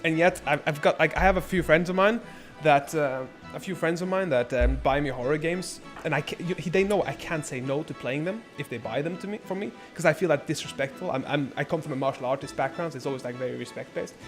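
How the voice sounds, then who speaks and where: A man's voice: a little high, fast talker, few pauses, over music. A young man born in Norway, chatting casually and rambling on his live stream, swearing freely. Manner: rambling, laid-back, lots of filler and swearing.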